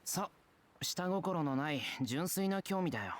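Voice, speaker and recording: A man's voice: fairly high pitch, expressive, brisk, lightly acted. An actor playing an earnest, straightforward young man in a visual novel, sincere and politely curious. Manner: sincere, plain-spoken. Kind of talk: Japanese visual novel voice acting.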